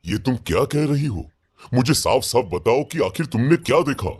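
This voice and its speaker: A man's voice: mid-range voice, big pitch swings, normal speed, a little mellow, slightly rough. A man playing a grave old village priest who speaks with solemn foreboding in a horror story. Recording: background music under the voice.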